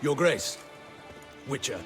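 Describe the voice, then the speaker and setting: An actor playing a proud, suspicious guard captain in a video game, stiff, curt and formal. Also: male voice, medium pitch, big pitch swings, slow, soft background music. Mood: curt, demanding. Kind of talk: video game cutscene acting.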